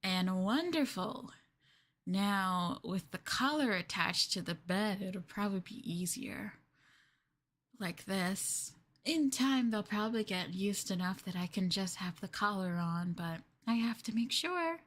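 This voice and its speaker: A woman's voice: mid-range voice, lively intonation, unhurried, fairly bright tone. A woman playing an obsessively possessive yandere girlfriend in an ASMR roleplay, cooing and coaxing. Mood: sweetly sinister. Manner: cooing, coaxing, with murmurs and giggles.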